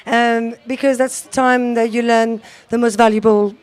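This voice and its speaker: A woman's voice: a little high, level tone, measured pace. A woman founder of an outdoor school giving a radio interview at an outdoor event, talking fluently but with many fillers and restarts. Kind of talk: radio interview, switching between English and French.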